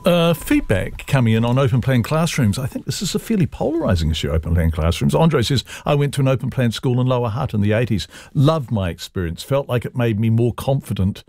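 A New Zealand man co-hosting morning radio, reading feedback and introducing news in a relaxed, chatty way. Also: medium pitch, a little fast, hardly any pauses, dry recording. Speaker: radio host.

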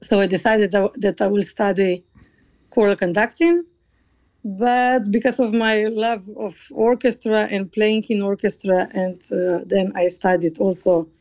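A woman from Slovenia with a Slovenian accent, a choral conductor answering interview questions in a halting way, often searching for words. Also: mid-range voice, fairly even intonation, warm tone, no room sound. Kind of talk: interview conversation.

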